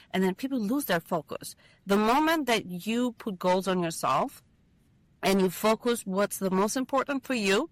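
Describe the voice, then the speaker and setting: A woman's voice: medium pitch, a bit bright, no echo. A woman from Albania, a university lecturer, talking earnestly in an interview, with small hesitations and fillers. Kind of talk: casual interview conversation.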